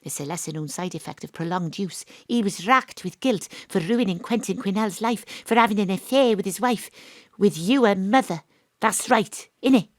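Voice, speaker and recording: A woman's voice: mid-range voice, moderate pace, dry recording, studio quality, lightly acted. A woman playing a shrewd detective. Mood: probing. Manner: confident, probing, coolly persuasive. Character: sharp, knowing female private detective. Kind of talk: audio drama acting. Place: home studio.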